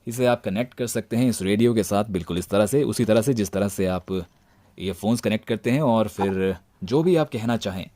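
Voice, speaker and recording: Man, Indian accent, lowish voice, deadpan, tongue-in-cheek, gadget review narration, dry close sound. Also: moderate pace, no echo. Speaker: product reviewer, presenter.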